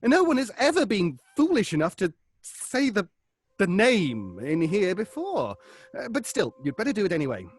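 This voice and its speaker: Animated, kindly stage actor. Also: male voice, high-pitched, moderate pace, husky, heavily acted. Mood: alarmed, insistent. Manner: reassuring, cautioning. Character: kindly but superstition-wary male stage actor, a seasoned colleague. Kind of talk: dramatic audio fiction acting.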